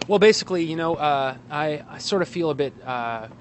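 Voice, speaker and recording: A man giving a casual interview as a guest, speaking in a hesitant, halting way with wry asides, outdoors in an alley. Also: fairly high pitch, lively intonation. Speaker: guest, actor and playwright. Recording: street noise, traffic outdoors.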